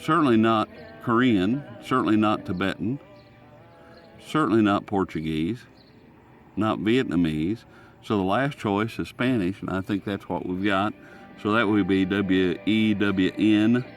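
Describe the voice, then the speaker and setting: A man's voice: on the low side, a little slow, soft background music. An American man hosting a casual hobby radio demonstration outdoors, talking in a folksy, rambling way. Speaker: host. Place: outdoors in sunlight.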